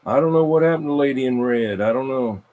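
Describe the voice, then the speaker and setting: A man's voice: mid-range voice, fast talker, darkish tone, highs cut off. Casual man running an on-air game. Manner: informal, repeats himself. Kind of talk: casual live radio chatter, announcing a spin game.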